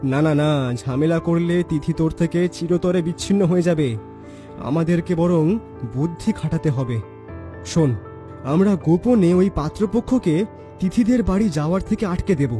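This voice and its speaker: A man's voice: fairly high pitch, moderate pace, dark tone, soft background music, natural voice. An actor playing a crafty, confident young best friend in a cartoon, bossy and teasing as he urges his pal on. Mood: urging. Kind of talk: dramatic cartoon voice acting.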